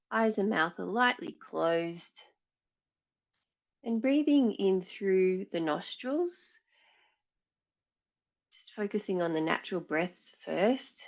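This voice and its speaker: A woman yoga teacher leading a guided meditation in a measured, soothing way, pausing between phrases. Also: medium pitch, dull audio.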